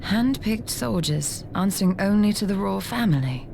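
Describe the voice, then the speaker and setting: A woman playing a keen-eyed, level-headed female warrior scout in a video game, speaking formally and deliberately. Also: medium pitch, lively intonation, creaky, background noise. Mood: serious, analytical. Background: faint game music.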